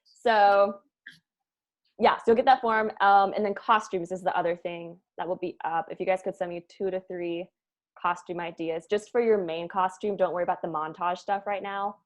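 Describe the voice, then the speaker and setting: A woman's voice: lowish voice, fairly even intonation, moderate pace. A woman leading a cast meeting in casual, rambling talk with an informal, jokey manner. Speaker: show creator leading a cast table-read meeting. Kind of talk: casual chat.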